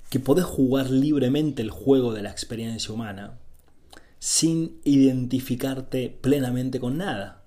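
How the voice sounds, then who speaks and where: A man's voice: mid-range voice, unhurried, warm tone. A man from Argentina with an Argentine accent giving an informal spiritual talk as a teacher, in a didactic way with repeated phrases and short rhetorical check-ins.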